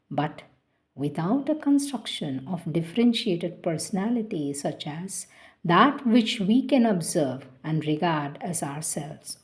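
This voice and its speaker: A woman reading a spiritual philosophy text aloud in a measured, careful, devotional way. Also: fairly low pitch, darkish tone. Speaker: reader of spiritual texts.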